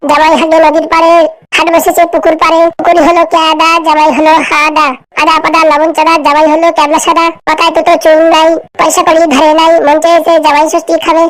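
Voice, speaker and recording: A woman voicing a sharp-tongued older village mother-in-law in a comedy cartoon, with a Pabna Bengali accent and blunt, mocking delivery. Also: very high-pitched, monotone, quick pace. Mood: mocking chant. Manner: sing-song mock blessings, blunt insults. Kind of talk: rural comedy cartoon skit.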